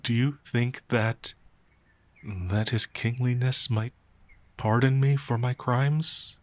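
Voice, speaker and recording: A man's voice: medium pitch, steady tone, slow, dry recording, natural voice. A man playing a timid, innocent werewolf farmhand in an audio roleplay, speaking in a hesitant, stammering, naively earnest way. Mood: nervous, confused. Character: timid, gentle, innocent male werewolf farmhand, older than he appears. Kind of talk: audio roleplay character acting.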